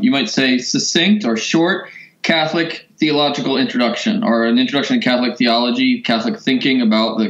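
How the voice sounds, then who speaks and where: An American man, a guest explaining things in a measured, articulate way in an interview. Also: mid-range voice, reverberant, muffled recording. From Georgia, United States. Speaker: guest, priest and author.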